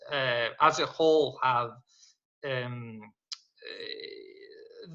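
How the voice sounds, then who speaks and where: Man, panel guest on data science, bright and forceful tone, halting delivery over dull call audio. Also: fairly high pitch. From Greece. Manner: halting, many fillers and restarts. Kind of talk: panel discussion, unscripted explanation.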